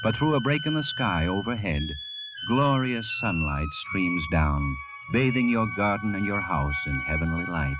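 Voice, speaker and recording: An actor narrating an old-time radio drama as a grave, intense storyteller with a deliberate, dramatic delivery. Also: male voice, on the low side, sing-song, loud music behind the voice. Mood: hushed reverence. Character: grave, intense second-person narrator of doom. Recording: muffled vintage radio recording.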